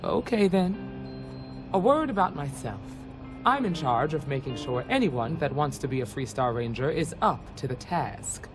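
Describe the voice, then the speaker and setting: Plainspoken frontier space ranger, music behind. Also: female voice, low-pitched, sing-song, moderate pace. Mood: serious, measured. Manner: folksy, plainspoken, earnest. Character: seasoned, earnest female space frontier ranger recruiter. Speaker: recruiting officer. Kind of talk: video game character dialogue. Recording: game audio with loud music bed.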